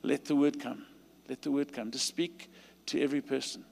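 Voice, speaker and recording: A man with a South African accent preaching a sermon and leading a call to stand, his delivery rising to emphatic peaks and dropping to quiet pleading prayer. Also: medium pitch, steady tone, normal speed, creaky. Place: large church hall. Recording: long hall reverb.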